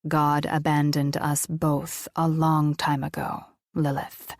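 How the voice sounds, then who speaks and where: A woman puts on an ancient male angel with a low, gravelly voice and a dry, close sound. He speaks slowly and gravely, coldly menacing and in command. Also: flat delivery, no echo.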